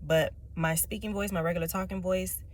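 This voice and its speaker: A woman with a rich, low speaking voice, talking emphatically to camera and often repeating her points with a tag question. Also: steady tone, a little fast. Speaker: vlogger. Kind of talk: direct-to-camera personal talk.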